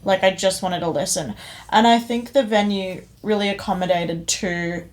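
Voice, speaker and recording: A woman's voice: mid-range voice, moderate pace. A young woman from Indonesia co-hosting a podcast, chatting enthusiastically and a bit rambly about a show she saw. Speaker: podcast co-host. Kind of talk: casual review chat.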